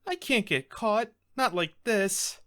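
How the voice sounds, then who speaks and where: A man performing as a panicky, scheming culprit from a courtroom video game, anxious and halting. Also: high-pitched, animated, bright, forceful tone, pitched above the performer's own voice, full character voice. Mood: panicked. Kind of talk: video game character acting.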